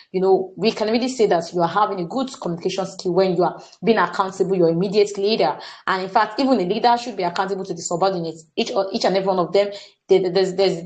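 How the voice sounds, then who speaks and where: Brief: young Nigerian woman, workshop facilitator, earnest, mid-pitched, stumbles on words and restarts. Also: a little mellow, low-quality recording. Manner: earnest, repeats words and says "what's it called" often. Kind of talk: staff training talk.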